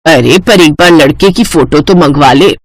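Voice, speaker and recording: A woman's voice: sits low, normal speed, pitched below the performer's own voice. A woman voicing a cautious, sensible middle-aged mother in a cartoon story. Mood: cautioning.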